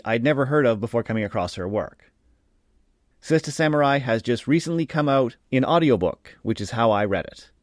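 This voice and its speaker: A man's voice: mid-range voice, dry recording, clean studio sound. A man hosting a podcast interview, reading a prepared introduction and then talking loosely with fillers. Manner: reads a scripted intro, then chats with pauses and fillers. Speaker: podcast host.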